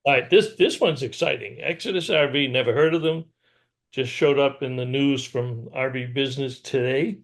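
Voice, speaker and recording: A man's voice: medium pitch, normal speed. A man from New England with an American accent, co-hosting a casual RV news show in an easy conversational style. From New England, USA.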